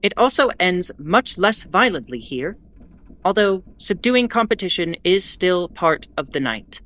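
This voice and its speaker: A woman's voice: lowish voice, very animated, measured pace, a bit bright. A woman playing a wry, defiant bulletin host aboard a spaceship, narrating a scripted audio drama in a conversational, explanatory way. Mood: solemn, storytelling. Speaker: host of an in-world radio bulletin.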